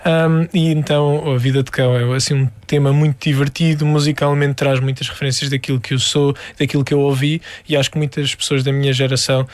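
A young man from the Algarve with a European Portuguese accent, a musician guest talking through his songs in a relaxed interview, with lots of pauses and restarts. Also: medium pitch, no room sound. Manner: thoughtful, many hesitations and restarts. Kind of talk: casual interview.

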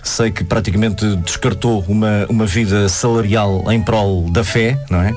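A man hosting an interview, steering the talk in a measured way and finishing the guest's sentences. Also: lowish voice, steady tone, darkish tone, faint music behind. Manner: measured, prompting, fills in the guest's words. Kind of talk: interview conversation.

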